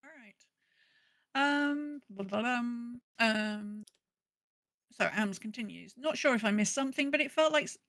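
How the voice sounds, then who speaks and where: A woman's voice: fairly high pitch, expressive, a little slow, fairly bright tone. Woman podcast co-host in casual chat. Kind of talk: casual chat and reading out listener messages.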